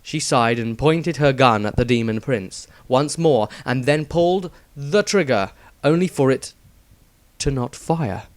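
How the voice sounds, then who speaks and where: A man narrates a fantasy adventure from a script in a dramatic storytelling style. His pitch is middling for a man and the recording is dry, with little room sound. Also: lively intonation, unhurried, no echo.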